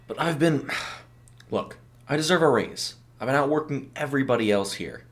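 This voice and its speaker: A man's voice: mid-range voice, expressive, moderate pace, voice pushed up, close to an everyday voice. A man voicing a frustrated, insistent male store worker in an audio short story. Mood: aggrieved, pleading. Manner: insistent, aggrieved.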